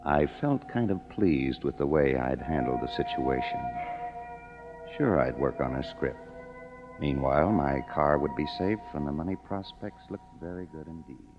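A man's voice: rumbling low, lively intonation, moderate pace. An American man acting as a cynical, broke young screenwriter in a 1950s radio drama, wry and sardonic. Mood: wry, weary. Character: cynical, broke young screenwriter narrating his own story. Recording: muffled old radio broadcast, music behind.

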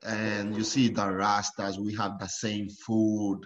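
Laid-back low-voiced man in a roomy space. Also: takes it slowly, lo-fi sound. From Costa Rica. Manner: laughs often, relaxed storytelling. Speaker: professional footballer, guest. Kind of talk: casual interview chat.

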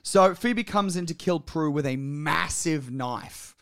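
A man's voice: fairly high pitch, slow pace, a bit bright, smooth. A man, co-hosting a casual podcast, joking and swearing while he recaps an episode's plot. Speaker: podcast co-host.

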